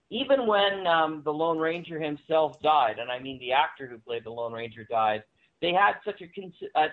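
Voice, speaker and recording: Higher-pitched man on a tinny phone-like connection. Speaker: podcast guest, audio drama writer-director. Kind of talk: conversational roundtable discussion. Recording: thin, phone-like band-limited line.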